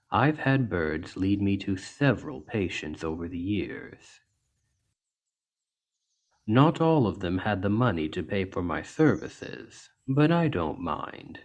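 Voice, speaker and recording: A big orc healer acted out by a man speaks in a deep voice at a slow, measured pace. He is gentle and plain-spoken, with an earnest, reassuring manner. The delivery is that of a soothing fantasy ASMR roleplay, told to someone in his care. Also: sing-song, highs cut off, full character voice. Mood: kindly, calm. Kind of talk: ASMR roleplay, soft storytelling to an injured listener.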